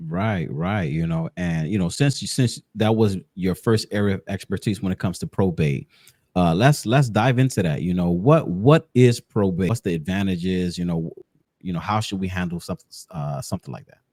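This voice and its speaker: A man with a fairly low voice hosts a casual interview. His manner is informal and encouraging, and he likes to double up short phrases. The sound is close and dry, with very little room in it. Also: normal speed, a little mellow, no echo. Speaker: podcast host.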